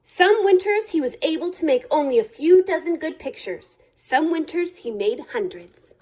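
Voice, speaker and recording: High-pitched, very animated woman reading a storybook. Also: moderate pace. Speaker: reader of a children's picture book, library staff. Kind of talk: expressive read-aloud storytelling for children.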